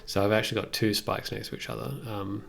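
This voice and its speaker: A man's voice: fairly low pitch, monotone, fast, gravelly, loud music behind the voice. A young man chatting casually through a tutorial on a livestream, thinking aloud in a tentative way as he works. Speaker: music production livestreamer. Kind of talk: casual tutorial chat while working. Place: indoors at a computer desk.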